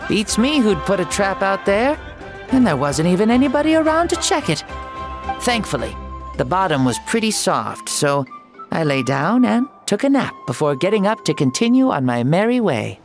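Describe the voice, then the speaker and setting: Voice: mid-range voice, big pitch swings, moderate pace, music in the background. An actor voicing a jovial, boastful ancient warrior hero in a game cutscene, talking in a playful, teasing way that turns grandly theatrical. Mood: chatty.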